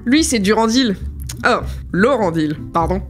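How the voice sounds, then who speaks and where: An actress playing an eager, cheeky adventurer with a know-it-all manner in a comic audio drama. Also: female voice, medium pitch, lively intonation, measured pace, natural voice. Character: eager, cheeky female time-travel adventurer. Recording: music behind the voice.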